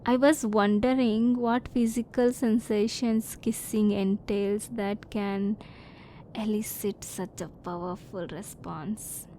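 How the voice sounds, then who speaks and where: A woman voicing a curious, reserved female alien in an ASMR roleplay, speaking softly in formal, stilted phrases, at times close to a whisper. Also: medium pitch, slight background noise, close to an everyday voice, poor audio. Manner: soft-spoken, sometimes near whisper.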